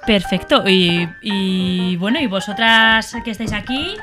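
A woman's voice: medium pitch, music in the background. A young adult woman hosting a children's radio show in a studio, playful and teasing as she chats. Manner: playful and teasing, drawn-out words.

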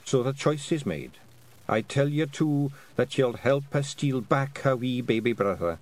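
A man voicing a dying old fairy clan mother with a Scottish accent, speaking slowly and firmly in a raised voice. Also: expressive, close to an everyday voice. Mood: commanding, frail. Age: over 60. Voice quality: slow, raised-pitch, firm old-woman voice.

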